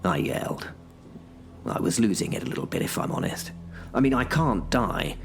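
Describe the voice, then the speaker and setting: A man's voice: on the low side, big pitch swings, moderate pace, background noise. A man narrating a first-person horror audio drama in a wry, self-mocking way. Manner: wry, self-mocking, dry British humour. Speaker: narrator and lead character.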